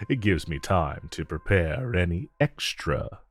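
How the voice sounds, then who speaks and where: Man playing a low, slow, flirtatious villain. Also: lively intonation, faint music behind, full character voice. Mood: flirtatious, relaxed. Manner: smooth, teasing, unhurried drawl with deliberate pauses. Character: suave, flirtatious male supervillain courting his tailor. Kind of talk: romantic audio roleplay addressed to the listener.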